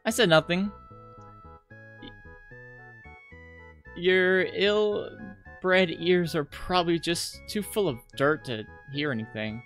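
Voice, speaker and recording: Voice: medium pitch, takes it slowly, long pauses, a bit bright, faint music behind, pitched above the performer's own voice. A visual novel player voicing a haughty, stammering, easily flustered young schoolgirl. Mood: flustered, indignant. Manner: stammering, imperious. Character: haughty, easily flustered rich schoolgirl, mean but softening.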